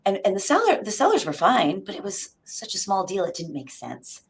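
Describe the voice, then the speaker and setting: A woman's voice: mid-range voice, wildly swooping pitch, a little fast. A woman speaking as an executive guest in an interview, explaining conversationally and often checking in with the listener.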